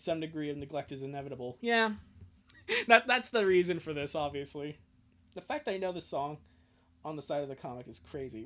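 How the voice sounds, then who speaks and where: A male streamer with a fairly high, sharply bright voice. He comments casually as he reads for his live audience. His delivery is sarcastic and exasperated, with loose, sweary phrasing. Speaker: streamer and host of a live reading.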